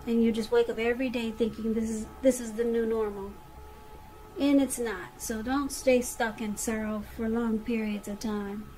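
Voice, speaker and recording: A woman's voice: fairly high pitch, fairly even intonation, normal speed, mellow, a little hiss or hum, poor audio. A woman giving a conversational tarot reading straight to the listener, repeating phrases for emphasis. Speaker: tarot reader.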